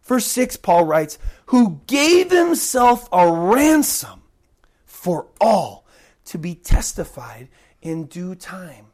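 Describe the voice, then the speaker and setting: A woman's voice: lowish voice, sing-song, takes it slowly. A woman preaching an emphatic sermon while recovering from a cold and fighting to keep her voice. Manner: emphatic, repeats key points, swings between loud stresses and soft drops.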